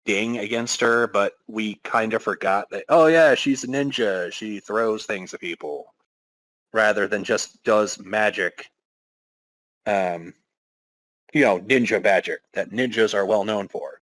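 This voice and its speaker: Male podcast host, lowish voice, dry close sound, dramatic reading, wry self-interrupting delivery. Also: measured pace, fairly bright tone, dry recording, rough recording. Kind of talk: dramatic reading with casual asides.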